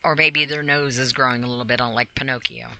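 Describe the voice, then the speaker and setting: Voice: mid-range voice, steady tone, quick pace, gravelly, bright, forceful tone. A podcast host reading a self-help list aloud with informal commentary, blunt, repeating phrases for emphasis and putting rhetorical questions straight to the listener.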